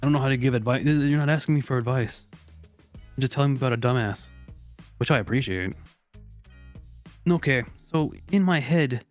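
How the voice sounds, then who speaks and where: Male advice-show host, mid-pitched, casual and mock-serious, swears freely, music in the background. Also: moderate pace, pauses often. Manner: profane, blunt, mock-serious. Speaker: host of a comedy advice segment. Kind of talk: casual, joking group chat and reading aloud.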